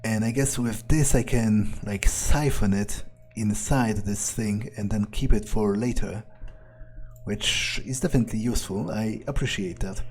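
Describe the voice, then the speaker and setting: A man's voice: on the low side, a little slow, darkish tone, breathy edge. A man doing in-character roleplay commentary on a video game, musing and thinking aloud. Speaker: gameplay video host.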